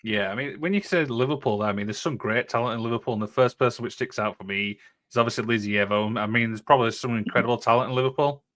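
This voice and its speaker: A man's voice: mid-range voice, quick pace, a bit bright. A man hosting a wrestling interview, talking as an eager fan and leaning on "obviously" a lot. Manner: enthusiastic fan, leans on "obviously". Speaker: podcast host, interviewer. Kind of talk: casual podcast interview.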